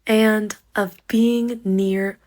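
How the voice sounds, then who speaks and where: A woman from the United States hosts a podcast for English learners. She speaks with an extremely slow, deliberate pace and pauses between short phrases. Her voice sounds soft and mellow. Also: medium pitch, steady tone. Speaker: podcast host and English teacher.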